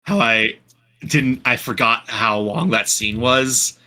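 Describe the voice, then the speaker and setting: A man with a notably bright voice chats casually at a medium pitch. He rambles along, often doubling back to restart a sentence. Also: takes it slowly. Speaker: podcast panelist and fandub voice actor. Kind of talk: casual chat.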